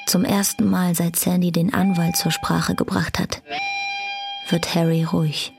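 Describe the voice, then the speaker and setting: Low, creaky woman narrating. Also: flat delivery, a little slow. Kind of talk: scripted radio drama narration. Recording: guitar music behind the voice. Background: guitar music.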